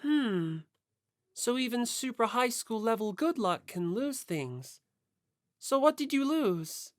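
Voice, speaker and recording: A woman's voice: a little high, slow, no echo, voice pushed up. An aloof teenage girl sleuth acted by a woman in an audiobook. Her delivery is cold, level and analytical, recorded close and dry. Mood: cool, detached. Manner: cold, monotone, level-headed. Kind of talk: audiobook character voice.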